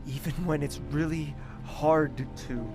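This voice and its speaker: A man's voice: fairly high pitch, fairly even intonation, a little slow. A man playing a grieving, bitter young prince in an audio drama, brooding and intense. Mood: weary, defensive. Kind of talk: audio drama acting with narration. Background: music.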